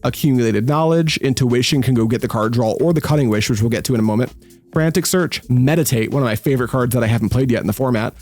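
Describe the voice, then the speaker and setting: A young man hosting his own card-game channel, talking through plays in a casual, energetic, self-deprecating way that jumps up into excited yelps. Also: medium pitch, fast talker, faint music behind. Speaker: gaming channel host. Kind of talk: casual gameplay commentary.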